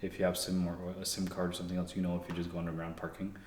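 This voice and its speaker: Young male guest, deep monotone, loose chatty answers full of restarts; roomy echo with faint background hum. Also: rapid-fire. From Canada. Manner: relaxed, rambling with frequent fillers and restarts. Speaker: guest, engineer. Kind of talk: casual interview conversation. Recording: echoey room.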